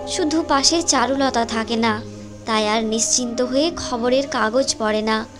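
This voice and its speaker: A woman narrator reading a scripted story in a storytelling style. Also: high voice.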